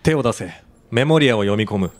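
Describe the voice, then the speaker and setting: A man playing a cold, curt criminal handler in a radio drama, calm and condescending. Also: on the low side, a little fast, noisy background, natural voice. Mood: cold menace. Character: cold, menacing male handler who erases people's memories.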